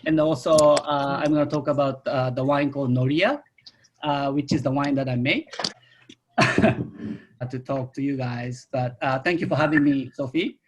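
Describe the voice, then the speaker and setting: Middle-aged male, native Japanese speaker, Japanese accent in English, hesitant phrasing, informal online presenter, mid-range pitch. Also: unhurried, a little hiss or hum. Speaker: winemaker, guest speaker. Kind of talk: informal autobiographical talk on a video call. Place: online video call.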